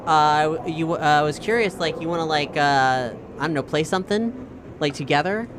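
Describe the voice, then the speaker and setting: A woman's voice: low voice, moderate pace, noisy background. A woman playing a tabletop role-playing game, talking casually and dryly, with some swearing. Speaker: player. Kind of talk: casual improvised tabletop role-play chat. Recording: music behind the voice. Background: music.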